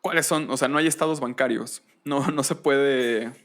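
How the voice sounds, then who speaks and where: A man hosting a podcast, explaining history conversationally in a deliberate way, with pauses and rhetorical questions. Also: medium pitch, steady tone, a bit bright. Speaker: podcast host.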